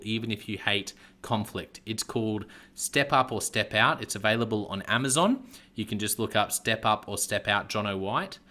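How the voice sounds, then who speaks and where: Bright-voiced male podcast host. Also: fairly low pitch. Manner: upbeat, encouraging, with fillers. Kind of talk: podcast interview and promo.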